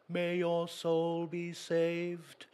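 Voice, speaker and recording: A man's voice: fairly high pitch, monotone, drawn-out words, a little mellow, voice pushed up. A man voicing a haughty, pious priest from a storybook folk tale, declaiming pompously.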